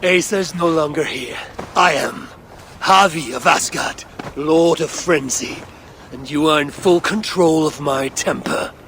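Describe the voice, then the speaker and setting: Norse warrior god, creaky voice, commanding, dryly witty, measured, game cutscene, slight background noise. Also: male voice, a little high, a bit bright. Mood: menacing. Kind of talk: video game cutscene acting.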